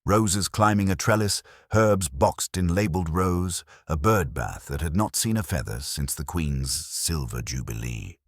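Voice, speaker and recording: Very deep, husky male storyteller. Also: expressive, unhurried, few pauses, dry recording, clean studio sound. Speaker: narrator. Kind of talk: scripted narration of a mystery story.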